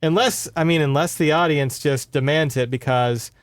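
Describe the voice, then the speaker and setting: A man's voice: a little high, normal speed, no room sound. A man co-hosting a podcast, recounting a book in casual chat with wry, mock-grandiose humor. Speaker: podcast co-host.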